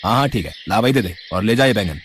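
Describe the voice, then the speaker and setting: Cartoon casting: brusque male street vendor, low-voiced and quick, gruff but kind underneath played by a man. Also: animated, a bit bright, a little hiss or hum. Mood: gruffly kind. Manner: brusque, curt. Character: gruff male vegetable seller with a soft heart. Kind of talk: animated moral story dialogue.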